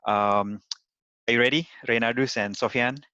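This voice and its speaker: A man's voice: mid-range voice, steady tone, normal speed, bright, forceful tone, clear, resonant tone, no room sound. A man moderating an online panel. He is hesitant, with many "uh"s and restarted phrases. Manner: hesitant, many "uh"s and repeated starts. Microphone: video call. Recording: dry, dull lo-fi audio.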